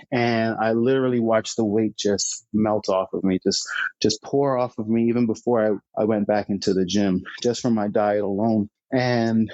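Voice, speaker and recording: A man's voice: on the low side, darkish tone, no echo. A young man from the Bahamas, a guest telling his story in a casual interview, chatty and laughing often between "uh" fillers. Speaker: guest, founder. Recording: slightly dull lo-fi remote audio.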